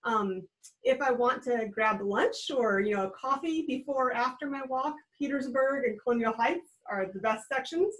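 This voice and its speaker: A woman's voice: on the high side, normal speed, low-quality recording. An American woman in her thirties, a guest on a video-call interview, explaining in a friendly, upbeat way from a small room at home. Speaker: guest, regional trail program director. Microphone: webcam on a video call.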